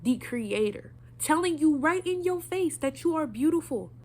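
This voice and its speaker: A woman's voice: high-pitched, expressive, moderate pace, a little mellow, a little hiss or hum. A young woman with a stuffy nose giving an earnest, preachy motivational talk straight to her viewers. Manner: earnest, preachy, direct address. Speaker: vlogger.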